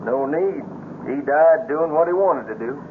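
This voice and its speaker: A man's voice: a little high, expressive, unhurried. An actor playing a plainspoken, unflappable ex-Navy frogman with folksy country speech in a radio drama. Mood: matter-of-fact, reflective. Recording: tinny, noisy old radio broadcast.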